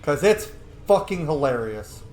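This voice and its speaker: A man's voice: fairly high pitch, very animated, a little slow, darkish tone, a little hiss or hum. Offhand joking male gamer, roomy sound. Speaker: gaming video host. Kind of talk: casual let's play commentary with in-character roleplay.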